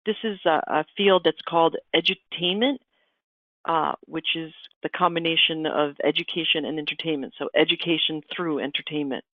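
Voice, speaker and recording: A woman's voice: low voice, steady tone, normal speed, dry recording. A woman from Argentina whose first language is Spanish gives a webinar presentation, explaining steadily and calling for the next slide. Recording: tinny phone-line audio, dry.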